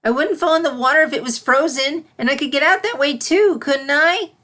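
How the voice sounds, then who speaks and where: A little unicorn filly played by a woman, pitched well up from the reader's own voice. She is eager and excitable, pleading and arguing like a whiny young pupil in a storybook tale. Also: brisk. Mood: whining.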